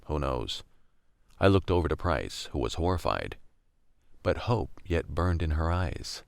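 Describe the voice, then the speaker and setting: A man's voice: rumbling low, sing-song, unhurried, creaky, smooth, dry recording, exaggerated voice. A man acting as a weary, wry young survivor, narrating a radio drama in the first person. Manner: wry, brooding, world-weary. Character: weary, gritty young male survivor narrating a post-collapse story.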